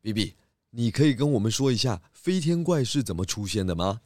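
A man's voice: medium pitch, very animated, fairly quick, darkish tone, no room sound, studio quality, close to an everyday voice. A man playing a courteous, inquisitive pig detective in a children's audio mystery drama. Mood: polite, inquisitive. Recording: music behind the voice.

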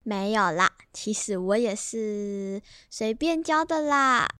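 A woman's voice: mid-range voice, lively intonation, slow pace, bright, forceful tone, smooth, dry recording, clean studio sound. A young female performer playing a sweet, curious granddaughter in a children's radio drama. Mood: curious, shy.

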